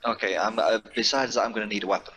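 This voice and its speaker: A man's voice: lowish voice, fairly even intonation, very fast, muffled recording, crowd noise. A man playing a tabletop roleplaying game, chatting casually in character in a hesitant, deliberating way.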